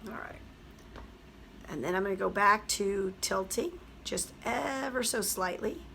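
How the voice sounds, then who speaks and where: A hoarse woman, a teacher, chattily explaining a craft project step by step. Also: medium pitch, a little slow, sharp tone, slight background noise. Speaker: craft tutorial host. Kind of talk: craft tutorial voice-over.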